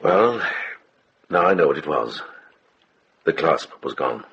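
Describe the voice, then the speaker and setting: A shrewd older monk in a radio drama, with an extremely low voice and a gravelly grain. He questions in a measured, quietly authoritative way, with a forceful edge over faint background noise. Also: expressive, muffled recording, exaggerated voice. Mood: probing.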